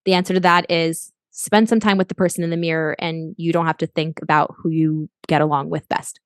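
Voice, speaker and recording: Casting note: young adult woman coach, reflective and earnest, slightly low voice, dry close sound. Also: flat delivery, a little fast, smooth, no room sound. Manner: earnest, reflective, frequent restarts. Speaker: guest life coach. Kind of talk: podcast interview.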